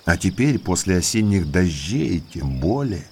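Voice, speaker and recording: An actor playing a well-mannered male naturalist in an audio drama, an eager guide to eerie moors who speaks politely and deliberately. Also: deep voice, big pitch swings, mellow, slight background noise, full character voice. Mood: grave warning. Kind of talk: Russian audiobook drama acting.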